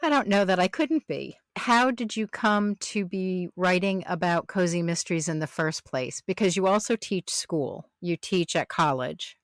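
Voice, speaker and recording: A woman's voice: fairly low pitch, normal speed, few pauses, dry recording, clean studio sound. A woman hosting a casual podcast interview in a conversational way.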